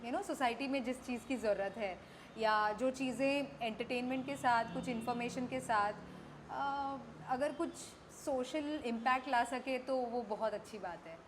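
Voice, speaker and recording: A woman's voice: on the high side, measured pace, over music, low-quality recording. A woman with an Indian accent, a radio jockey and activist, speaking reflectively as an interview guest and mixing Hindi with English phrases. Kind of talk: candid conversational interview.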